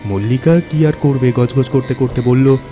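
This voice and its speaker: A man's voice: mid-range voice, moderate pace. A man narrating a scripted ghost story as a dramatic storyteller. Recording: music bed under narration.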